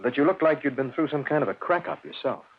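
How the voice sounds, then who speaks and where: An actor playing a probing, matter-of-fact police lieutenant in an old radio drama, measured and businesslike. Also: male voice, on the high side, narrow, tinny audio. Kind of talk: 1940s-50s radio drama acting. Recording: thin, noisy old radio broadcast.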